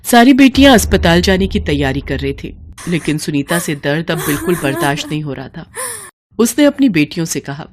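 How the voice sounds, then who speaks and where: A woman's voice: deep voice, very animated, brisk, crowd noise. A woman storyteller delivering scripted, dramatic narration of a moral tale.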